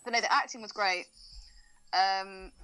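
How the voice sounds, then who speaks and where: A woman's voice: medium pitch, a little slow, pushed and bright, clean tone, faint music behind, rough recording. A woman co-hosting a podcast in casual chat, teasing and swearing freely as she banters. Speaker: podcast co-host.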